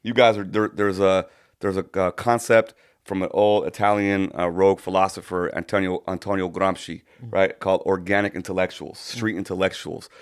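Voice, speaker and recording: Rambling, thoughtful low-voiced co-host. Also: male voice, steady tone, brisk, clear, resonant tone. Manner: thoughtful, rambling, many restarts. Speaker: podcast co-host. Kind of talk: casual podcast conversation.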